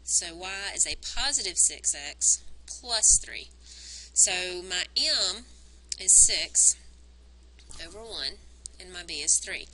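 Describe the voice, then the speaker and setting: A woman teacher recording a math lesson on a wired mic, explaining each step and counting points aloud, her voice worn and going hoarse. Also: on the low side, expressive, takes it slowly, pushed and bright, a little hiss or hum, poor audio. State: losing her voice. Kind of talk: recorded math lesson, working through graphs. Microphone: wired microphone on a cord.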